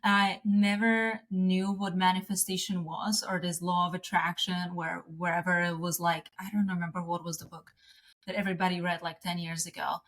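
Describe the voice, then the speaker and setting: A woman's voice: fairly low pitch, flat delivery, moderate pace, a bit bright. A woman, talking casually as an interview guest, restarting sentences and laughing often. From Slovakia.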